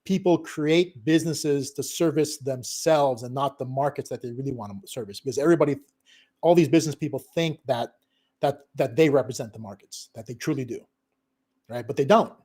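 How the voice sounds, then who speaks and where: A middle-aged man from a Filipino family, a digital marketing consultant, talking in an animated, emphatic way on a live business stream, tagging his points with "okay?" and "right?" and laughing often. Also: medium pitch, brisk.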